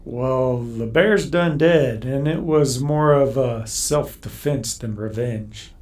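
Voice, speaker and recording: A man playing a gruff, weary drifter in a western radio drama, sardonic and folksy in his muttered delivery. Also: mid-range voice, takes it slowly, a little mellow, close to an everyday voice. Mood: weary, dismissive. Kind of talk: old-time radio western acting.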